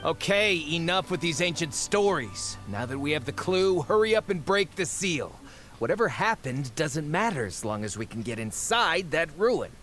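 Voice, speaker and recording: A man's voice: fairly high pitch, very animated, moderate pace, a bit bright, faint music behind. An actor playing an impatient, brusque man in a game who wants to press on. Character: impatient, brusque man eager to enter the ruin. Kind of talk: scripted game dialogue.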